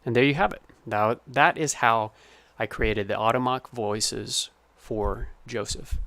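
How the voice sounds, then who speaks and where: A man's voice: mid-range voice, measured pace, fairly bright tone, dry recording. A man giving an informal tutorial as a sound designer, explaining his process step by step. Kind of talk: informal screen-recorded tutorial narration.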